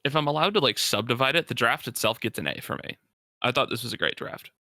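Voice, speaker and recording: Male sports co-host, very bright tense edge, emphatic and opinionated, dry close sound. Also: mid-range voice, lively intonation, fast talker, no room sound. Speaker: podcast co-host. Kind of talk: casual sports talk, analysis.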